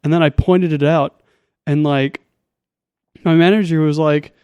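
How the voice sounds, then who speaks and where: Higher-pitched casual man, dry close sound. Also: steady tone, measured pace, darkish tone, clear, resonant tone, dry recording, lo-fi sound. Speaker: podcast co-host. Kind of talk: casual chat.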